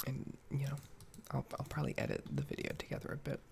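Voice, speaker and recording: A man speaks in a hushed, breathy near-whisper with heavy vocal fry and a slightly nasal tone. He rambles haltingly, like a soft-spoken ASMR gamer. Faint noise and keyboard clicks sit behind him. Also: medium pitch, big pitch swings. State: slightly nasal while getting over a cold-like illness. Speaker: gaming ASMR video creator.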